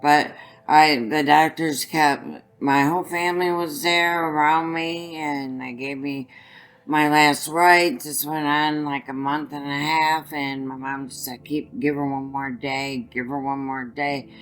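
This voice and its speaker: Raspy-voiced older woman. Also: low-pitched, fairly even intonation, fairly bright tone. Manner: halting, restarts often while recounting. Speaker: guest. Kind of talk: personal story in an interview.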